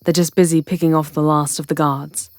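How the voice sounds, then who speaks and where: Woman acting a low-voiced, plain-spoken witch leader. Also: level tone, a little fast, dry recording, natural voice. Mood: brisk concern. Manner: plain-spoken, conversational, explanatory. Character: matter-of-fact witch leader, ally of a vampire hunter. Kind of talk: ASMR roleplay acting.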